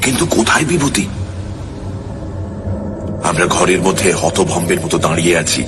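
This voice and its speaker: A man's voice: low-pitched, expressive, moderate pace, noisy background. A man narrating a ghost story in the first person, in a suspenseful, theatrical manner. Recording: loud music under the voice. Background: loud music.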